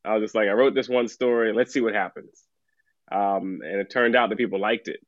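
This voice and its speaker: A middle-aged man from Trinidad, a writer, talking about his work in a relaxed way and laughing often. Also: lowish voice, fairly quick, dry recording, dull audio. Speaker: author guest. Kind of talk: casual podcast conversation.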